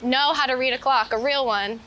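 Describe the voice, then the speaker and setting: Bright, higher-pitched woman chatting reflectively. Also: moderate pace, a little hiss or hum, rough recording. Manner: meandering, says "like" often. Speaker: interviewed street photographer and painter. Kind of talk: casual reflective chat while out walking. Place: outdoors in a city park.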